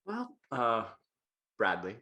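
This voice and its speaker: A man's voice: medium pitch, wildly swooping pitch, slow, bright, forceful tone, a little hiss or hum, heavily acted. A man acting a playful, breezy, teasing old friend in a comedy table read. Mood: sheepish. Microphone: video call.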